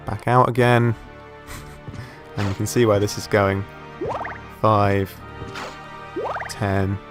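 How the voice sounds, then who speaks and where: A man with a croaky voice from a sore throat, casually commenting while he plays a game and thinks aloud. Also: on the low side, fairly bright tone. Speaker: gameplay commentator. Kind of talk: casual let's play commentary.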